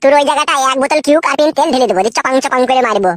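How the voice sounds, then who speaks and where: An actor voicing a crude, pushy, foul-mouthed young man in a comic cartoon, with a pitched-up voice. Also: racing, no echo. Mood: lecherous boasting. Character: crude, pushy, money-minded young male lover.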